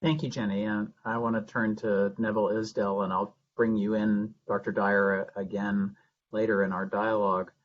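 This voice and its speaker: A man moderating a webinar panel in halting phrases. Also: lowish voice, flat delivery, slight background noise. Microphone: video call. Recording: dull lo-fi webinar audio.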